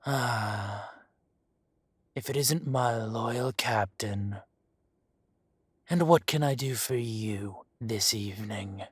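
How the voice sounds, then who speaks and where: A smug, husky dragon crime boss. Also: male voice, fairly low pitch. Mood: teasing. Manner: slow, smug, teasing, drops to whispers. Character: smug dragon mafia boss, teasing and menacing. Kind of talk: audio roleplay acting.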